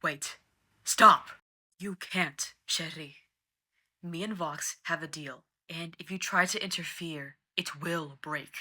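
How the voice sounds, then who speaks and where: A woman's voice: low-pitched, slow pace, bright, forceful tone, husky, full character voice. A woman voicing a proud, guarded and tormented male demon in an audio drama. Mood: alarmed.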